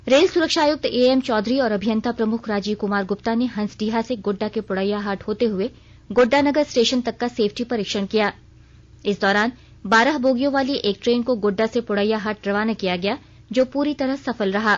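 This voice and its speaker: A woman's voice: fairly high pitch, fairly quick. A woman news reader delivering a formal scripted radio bulletin. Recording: dull band-limited radio recording.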